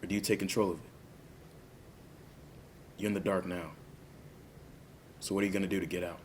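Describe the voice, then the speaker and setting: A man acting a calm police interrogator who coaxes and probes in a measured way. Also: deep voice, slight background noise, lightly acted. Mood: calm, probing.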